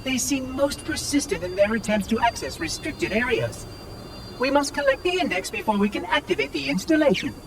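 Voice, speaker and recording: A man's voice: very high voice, lively intonation, brisk, recorded from a distance, background noise. A man voicing a fussy, officious ancient floating machine caretaker in a video game, prim, formal and theatrical, with precise enunciation. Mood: brisk, prim. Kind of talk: video game cutscene acting.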